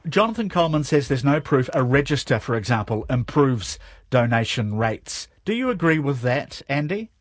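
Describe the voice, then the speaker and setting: A man hosting a radio talk show, asking measured questions that trail off low at the end of phrases. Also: very animated, no room sound, clean studio sound. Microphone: studio microphone.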